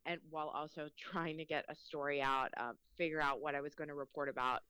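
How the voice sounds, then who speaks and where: A woman's voice: deep voice, fairly even intonation, quick pace, bright, forceful tone, smooth, dry recording. A young woman reporter from Mexico with a Mexican accent, chatting casually in an interview and filling her speech with ums and likes. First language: Spanish.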